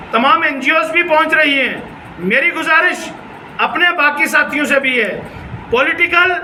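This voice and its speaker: A man speaks in a very high, piercingly bright voice. He delivers a formal appeal earnestly and deliberately, phrase by phrase, with English terms mixed in. The room echoes around him. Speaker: forum leader addressing a press conference. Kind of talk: formal press conference appeal. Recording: echoey room with background noise.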